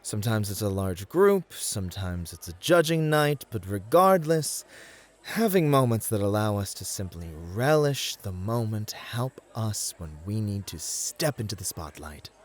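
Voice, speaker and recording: Man acting a cheeky, very animated bunny-club host. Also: fairly low pitch, a little slow. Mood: relaxed, friendly. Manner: playful, bantering, polite to guests. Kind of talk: ASMR roleplay acting.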